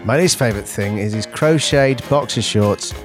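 A man's voice: mid-range voice, moderate pace. A man who performs sketch comedy, reading aloud in a playful comic style. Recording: music playing under the voice. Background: music.

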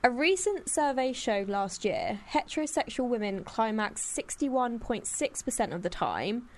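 A woman hosting a casual podcast, upbeat and quick as she reads out game questions. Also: a little high, very animated. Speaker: podcast host.

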